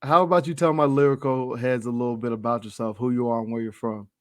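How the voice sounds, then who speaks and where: A man's voice: medium pitch, steady tone, fast, no room sound, clean studio sound. A man hosting a hip-hop interview show, chatting casually in a laid-back, slangy, agreeable way.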